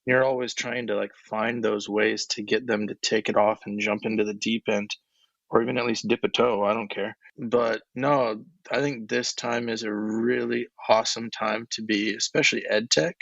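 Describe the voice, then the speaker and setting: Man, low monotone voice, casual rambling answers, lo-fi dry sound. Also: normal speed, creaky, no room sound. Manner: relaxed, filler-heavy, laughs between thoughts. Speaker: ed tech coach, guest.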